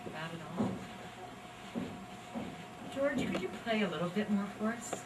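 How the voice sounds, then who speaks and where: Lady hosting some live event, normal pitch, far off mic, super noisy room. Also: animated, moderate pace. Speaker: event host. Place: library event room, from a distance. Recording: distant recording, very noisy room ambience.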